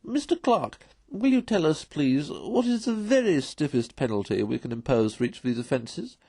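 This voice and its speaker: A man plays a pompous old-school magistrate from a storybook. He pitches his voice up and declaims with cheerful relish and merciless severity, in a dry, close recording. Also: very animated, normal speed, vocal fry, warm tone, husky, dry recording, full character voice. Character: cheerful, pompous, merciless male magistrates' chairman passing sentence. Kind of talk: storybook character voice in a read-aloud.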